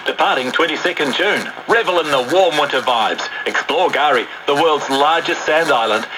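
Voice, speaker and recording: A man's voice: a little high, background noise. A man reading a scripted promotional advert, heard through an old radio speaker. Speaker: advert announcer.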